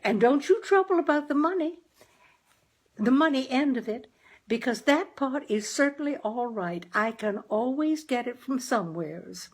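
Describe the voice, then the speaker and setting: Woman playing a high-pitched, coaxing homely mother. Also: lively intonation, moderate pace. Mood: reassuring. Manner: coaxing, rambling, folksy. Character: gentle, persistent, wheedling mother, homely and chatty.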